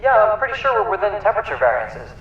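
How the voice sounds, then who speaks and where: A hesitant young-sounding lab helper, male, in a game cutscene. The high voice swings about in pitch, nervous and apologetic, in an echoey sound. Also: big pitch swings, fast. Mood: anxious, apologetic. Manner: timid, apologetic. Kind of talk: video game cutscene acting. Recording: dull, echoey, faint noise.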